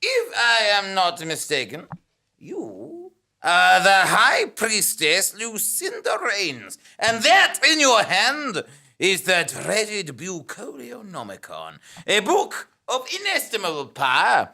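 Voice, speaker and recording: A man's voice: high voice, huge pitch swings, slow, creaky, sharp tone, exaggerated voice. A man playing a pompous, flustered, bookish clerk in a comic audio drama, over-formal and prone to stammering. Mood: officious.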